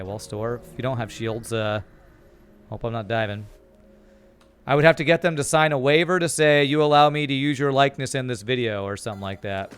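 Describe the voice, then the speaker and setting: A man's voice: medium pitch, clear, resonant tone. A young man from California with an American accent, chatting casually with his viewers while he plays a game and reads their comments aloud. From California, USA. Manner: relaxed, chatty, reads chat aloud and answers. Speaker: streamer. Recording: faint music behind.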